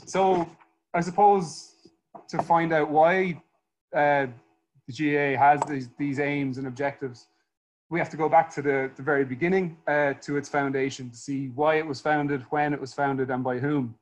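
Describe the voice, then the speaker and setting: A man's voice: on the high side, steady tone, normal speed, low-quality recording. A man in his thirties with an Irish accent, giving a history lecture in a conversational, hesitant way with many fillers and restarts. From Ireland.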